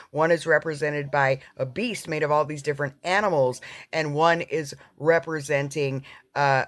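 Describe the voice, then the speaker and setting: A man's voice: a little high, dry recording. A man hosting, explaining prophecy in a conversational way with many fillers. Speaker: host. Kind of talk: casual bible teaching.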